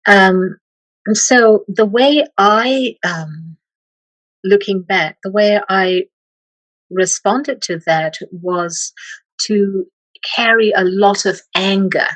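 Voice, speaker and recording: A middle-aged woman from Malaysia, a meditation teacher speaking as an interview guest, slow and hesitant with many pauses and restarts. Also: mid-range voice, no room sound, rough recording. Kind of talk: reflective interview talk.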